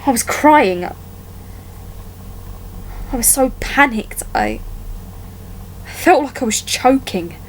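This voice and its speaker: A woman performing a dramatic monologue as a wounded young woman haunted by a childhood attack, telling it haltingly with deliberate pauses. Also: a little high, slight background noise, poor audio.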